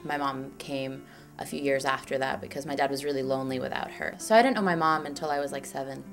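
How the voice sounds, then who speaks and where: Low-voiced young woman chatting over music. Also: fairly even intonation, fast, vocal fry. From Chile. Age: 20s. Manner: chatty, lots of "like", acts out quotes. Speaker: documentary subject. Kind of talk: casual personal storytelling. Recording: music playing underneath.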